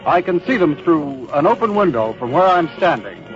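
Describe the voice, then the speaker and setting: An actor playing a courteous, probing American war correspondent in an old-time radio drama, speaking formally and with measure. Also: male voice, fairly high pitch, big pitch swings. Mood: descriptive, composed. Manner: formal, measured, politely challenging. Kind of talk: old-time radio news interview acting. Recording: muffled vintage radio, band music behind.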